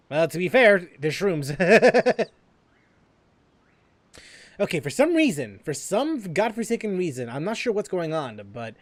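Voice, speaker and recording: A man on a live game stream who talks casually, halting often on fillers. His voice sits a bit high for a man and sounds close and dry, with no room echo. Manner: rambling, with many fillers and restarts.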